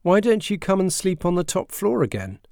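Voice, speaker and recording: A man voicing a bullied boy who needles his stepbrother with quiet, sly defiance in a storybook reading. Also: high voice, very animated, normal speed, vocal fry, dry recording, studio quality, pitched above the performer's own voice, full character voice.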